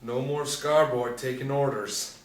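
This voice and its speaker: A man's voice: medium pitch, measured pace, lightly acted. A man playing a cocky, wild young gang leader in a screenplay table read, boastful and taunting. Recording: echoey room, distant from mic.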